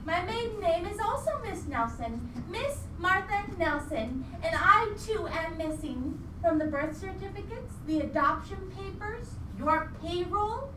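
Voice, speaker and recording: A vengeful doll voiced by a woman on stage, high and saccharine, savoring each threat at an unhurried pace. The delivery is theatrical and wordy, taunting in the manner of a live radio play. It sounds roomy and distant from the mic. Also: animated, noisy background. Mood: indignant, mocking. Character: vengeful female cabbage patch doll, sweetly menacing vigilante.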